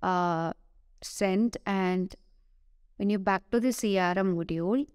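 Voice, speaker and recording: A woman with an Indian English accent giving a screen-recorded software tutorial, hesitant, with many "uh" fillers and self-corrections. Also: mid-range voice, slow pace, smooth, dry recording, studio quality.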